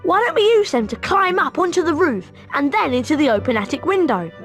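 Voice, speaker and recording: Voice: high-pitched, very animated, brisk, a bit bright, soft background music, heavily acted. An actor playing a friendly, eager young British boy wizard who guides a friend in a video game. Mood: friendly, instructive.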